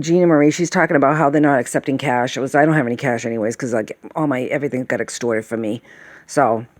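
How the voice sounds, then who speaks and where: A woman's voice: extremely low pitch, a little mellow, dry recording. Sighing, rambling woman in her late fifties. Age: late 50s. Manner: sighing, meandering, peppered with "okay?" and "all right?". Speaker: vlogger. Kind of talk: rambling casual monologue.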